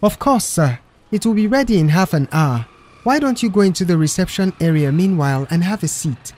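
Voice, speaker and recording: A man voicing a young male mechanic in an audio story, measured and controlled. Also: high-pitched, lively intonation, a little mellow, pitched above the performer's own voice. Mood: polite, placating. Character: calm, polite young male mechanic of few words.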